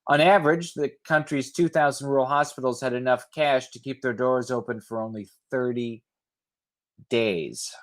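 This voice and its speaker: A man reading news stories aloud in a measured way, with informal commentary. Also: medium pitch, fairly even intonation, dry recording, clean studio sound.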